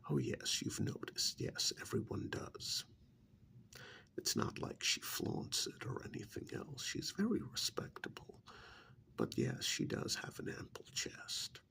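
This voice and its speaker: A man playing a chatty, gently scolding vampire envoy in a soft-spoken ASMR role-play, with a breathy whisper and deliberate pauses. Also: lowish voice, huge pitch swings, a little hiss or hum, full character voice. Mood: confiding, soothing. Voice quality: breathy whisper, gravelly fry. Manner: slow, deliberate, drawn-out pauses, conversational.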